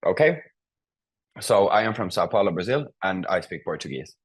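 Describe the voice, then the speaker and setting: A man's voice: low voice, big pitch swings, normal speed, husky. A man teaching a beginner language class, patiently prompting students and explaining grammar step by step.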